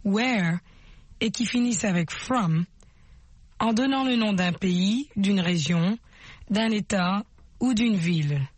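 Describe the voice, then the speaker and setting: A woman narrating a scripted language lesson in a slow, clear, instructional way. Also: medium pitch, no echo.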